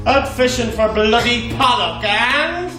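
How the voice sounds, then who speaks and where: Young Irish male actor's stage role, exuberant and cheeky, very high voice, roomy theatre sound. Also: animated, a little slow, over loud music. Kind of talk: theatrical stage monologue and duologue. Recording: echoey theatre recording with faint noise.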